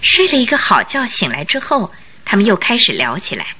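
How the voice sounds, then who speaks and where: A woman narrating a Mandarin radio drama in a scripted, expressive storytelling style. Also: mid-range voice, fairly quick, slightly rough.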